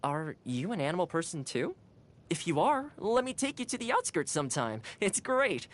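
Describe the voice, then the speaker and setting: A woman's voice: on the low side, very animated, normal speed. A woman voicing a cheerful, caring young male housekeeper in a video game, friendly and earnest. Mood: friendly, chatty. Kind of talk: video game character voice lines.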